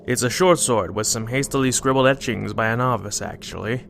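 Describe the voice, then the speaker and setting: An actor playing a dry, guarded monster fighter with a gravelly voice and a matter-of-fact, deadpan delivery in an audio drama. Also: male voice, medium pitch, a little fast, a little hiss or hum.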